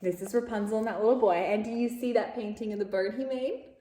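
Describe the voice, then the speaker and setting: A woman's voice: on the high side, steady tone, fast, mellow, a little hiss or hum. A woman reading a story aloud to young children, expressively and with asides and questions to the listeners.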